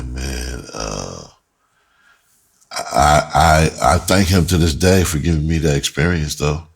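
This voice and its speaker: A middle-aged man with an American accent and a very deep voice that has a husky edge. He talks casually and candidly, like someone telling his own story. His delivery rambles and is full of slang. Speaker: storyteller, writer and music producer. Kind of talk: casual, explicit personal storytelling.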